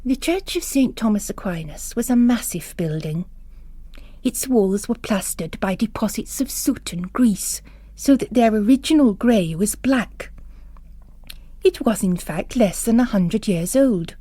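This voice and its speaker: A woman narrating literary fiction in a dramatic, expressive, deliberate way. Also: mid-range voice, dry recording.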